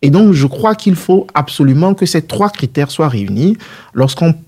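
This is a man with a husky, slightly high voice, speaking as a guest in a political interview. He lays out his points in a structured, didactic way, using rhetorical questions. The tone of the voice is soft-edged and mellow. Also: animated, normal speed. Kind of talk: measured political interview answers.

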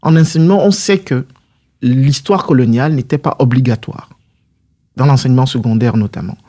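A man's voice: medium pitch, normal speed, dark tone. A man answering interview questions as a political guest, in a didactic, structured and rhetorical way.

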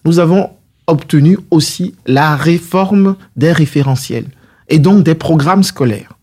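A man's voice: fairly high pitch, unhurried, darkish tone, breathy edge. A man answering interview questions as a political guest, in a didactic, structured and rhetorical way.

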